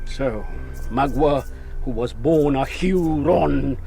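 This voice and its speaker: Slow, proud, vengeful warrior chief. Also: male voice, medium pitch, vocal fry, mellow, soft background music. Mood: solemn, sorrowful. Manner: solemn, declamatory, speaks of himself in third person. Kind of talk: radio drama acting.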